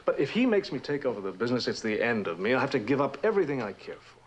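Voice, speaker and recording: A man's voice: mid-range voice, very animated, very fast. An actor playing a troubled, anxious young male heir, theatrical and hesitant, in an old suspense drama. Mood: anguished. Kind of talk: old radio and television suspense drama acting. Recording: noisy vintage drama recording.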